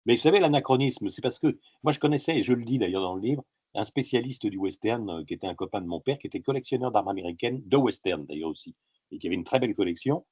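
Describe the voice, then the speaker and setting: A man being interviewed as an author, telling stories in a halting, digressive way with many filler sounds. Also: lowish voice, animated, darkish tone, slightly rough, no echo, highs cut off. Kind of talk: casual interview talk.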